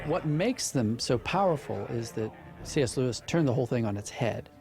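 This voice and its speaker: A man's voice: mid-range voice, expressive, normal speed, loud music behind the voice. A man from a drama production team giving explanatory interview commentary.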